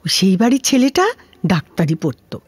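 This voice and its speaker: A woman's voice: mid-range voice, sing-song, moderate pace, slightly rough, no room sound, studio quality. A woman playing a talkative, grumbling elderly widowed housemaid with a Kolkata Bengali accent in an audio drama, speaking in a colloquial, plaintive, scolding way. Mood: chatty, suspicious.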